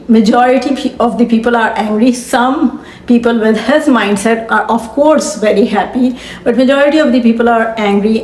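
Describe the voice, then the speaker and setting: A woman lays out her case in earnest, firm commentary, stopping to reason through each point. Her voice sits somewhat high. The room around her gives a clear echo. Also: darkish tone. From Pakistan. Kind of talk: opinion commentary to camera.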